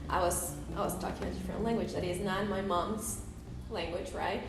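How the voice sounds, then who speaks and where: A woman's voice: lowish voice, fairly even intonation, moderate pace, heavy background noise. Woman chatting on a podcast, lots of "like", echoey room. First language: Spanish. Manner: casual, many fillers like "like" and "you know". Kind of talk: podcast conversation. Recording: roomy, distant mic, music behind.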